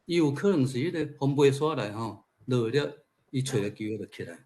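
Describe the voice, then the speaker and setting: Taiwanese man, conversational, mid-pitched voice. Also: lively intonation, a little fast, mellow. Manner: conversational, asks rhetorical questions. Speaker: speaker at a literature forum. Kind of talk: informal talk sharing personal observations.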